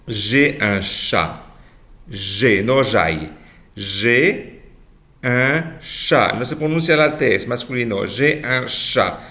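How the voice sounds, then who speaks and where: A male teacher drilling short phrases at a very slow, deliberate pace. He says each model sentence carefully and repeats it, then stops to correct pronunciation. His pitch sits at an ordinary middle level. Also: fairly bright tone. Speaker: French teacher.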